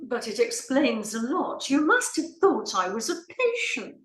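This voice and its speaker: A woman plays an imperious society lady in a stage comedy, exasperated and melodramatic. The delivery is slow and high, with dramatic swings, in a roomy echo. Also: sing-song, a bit bright. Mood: haughty, dismissive. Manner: imperious, theatrical. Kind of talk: comic stage play reading. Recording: roomy, echoing sound.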